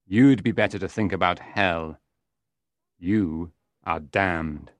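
A man's voice: deep voice, takes it slowly, no echo. A man playing a stern, menacing and evasive devil servant in a dramatised audiobook. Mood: stern, warning.

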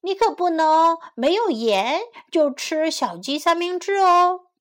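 A woman's voice: very high-pitched, lively intonation, a little slow, a bit bright, pitched above the performer's own voice, full character voice. A woman voicing a small, clever, fearless young female chick in a children's story, with a plucky delivery. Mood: matter-of-fact, clever.

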